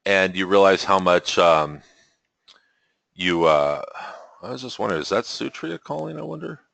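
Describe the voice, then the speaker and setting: A man hosting a spiritual webinar, talking in a relaxed way with chuckles and frequent restarts, through a computer mic on a call. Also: sits low, moderate pace, vocal fry, dry recording. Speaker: webinar host. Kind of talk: teaching webinar talk.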